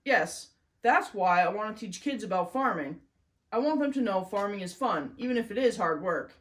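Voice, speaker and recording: Storybook farm girl, read by a woman: earnest, proud, explanatory, mid-range pitch. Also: lively intonation, normal speed, close to an everyday voice. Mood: earnest, explaining.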